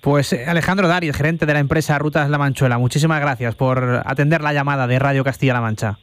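A man's voice: mid-range voice, fast talker. A man with an accent from Spain, hosting a live radio interview from a studio and putting questions to a guest.

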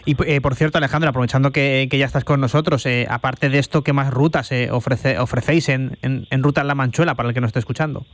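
A man's voice: medium pitch, steady tone, fast talker, few pauses, dry recording. A man with an accent from Spain, hosting a live radio interview from a studio and putting questions to a guest.

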